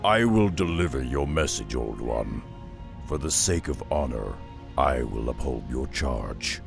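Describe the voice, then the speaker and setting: A hulking half-orc beastmaster with an extremely low, gravelly growl that crawls along at a slow pace. He speaks in grave, terse lines of a game cutscene, with orchestral music swelling clearly behind him. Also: animated, exaggerated voice. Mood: solemn. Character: gruff half-orc beastmaster, solitary wanderer.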